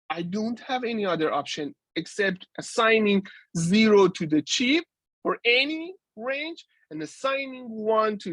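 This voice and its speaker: Slow, halting man lecturing. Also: high voice, fairly bright tone, no room sound, rough recording. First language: Persian. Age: 40s. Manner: halting, with many restarts and fillers. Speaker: guest lecturer. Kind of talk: university lecture.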